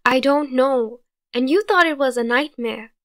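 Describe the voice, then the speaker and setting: A woman's voice: high voice, measured pace, a bit bright. A woman voicing an anxious young character who speaks urgently and pleads.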